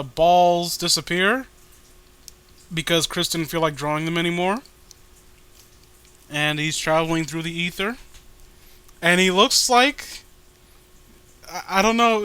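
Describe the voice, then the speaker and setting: Male host, high clear voice, casual comic commentary, crude jokes. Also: fairly even intonation, a little slow, long pauses, sharp tone, no echo. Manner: crude, jokey. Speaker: host reading a webcomic with a friend.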